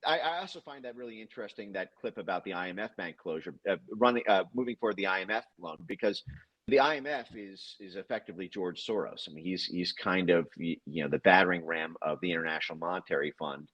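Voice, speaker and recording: Husky, low-voiced man in halting commentary. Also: lo-fi sound. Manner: halting, long-winded, stammering over repeated words. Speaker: interview guest, commentator. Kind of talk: political commentary in conversation.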